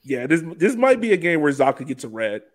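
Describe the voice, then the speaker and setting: A man's voice: a little high, very animated, a little fast. A man hosting a casual sports talk show, chatting in an informal, joking way. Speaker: podcast host.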